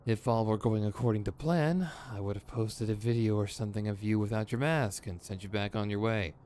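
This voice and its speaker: A man's voice: lowish voice, brisk, a little mellow, natural voice. A man playing an exasperated but principled, protective villain in an audio roleplay, with a sardonic, emphatic, conversational delivery. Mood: resigned, wry. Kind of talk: ASMR roleplay acting. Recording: clear background noise.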